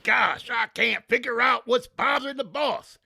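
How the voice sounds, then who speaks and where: A middle-aged man playing a sly, loyal male valet in a recreated 1950s radio comedy, with a drawling, gravelly comic delivery. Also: extremely high pitch, moderate pace, background noise, full character voice.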